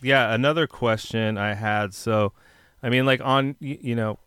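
A man's voice: mid-range voice, normal speed, a bit bright, clean tone, dry recording, studio quality. A man, a punk musician, chatting casually and asking questions in a laid-back, filler-heavy way. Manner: laid-back, lots of fillers, frequent yeahs. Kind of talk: casual podcast chat.

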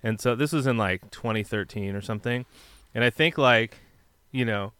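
A man's voice: medium pitch, moderate pace, sharp tone, clear, resonant tone, dry recording. A man, a punk musician, chatting casually and asking questions in a laid-back, filler-heavy way. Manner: laid-back, lots of fillers, frequent yeahs. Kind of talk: casual podcast chat.

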